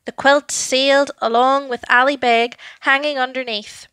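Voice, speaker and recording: A woman narrating a children's story with an expressive, deliberate, dramatic delivery. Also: high voice, clear, resonant tone, no echo, studio quality.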